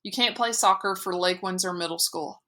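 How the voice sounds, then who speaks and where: A woman reading an awkward, apologetic soccer coach. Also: fairly low pitch. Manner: halting, bureaucratic, then softly apologetic.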